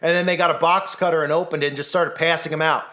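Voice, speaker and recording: Insistent man with a raised pitch. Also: fairly even intonation, fast talker, bright, forceful tone. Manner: insistent, rhetorical, acts out imagined voices. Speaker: commentary channel host. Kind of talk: conspiracy commentary monologue.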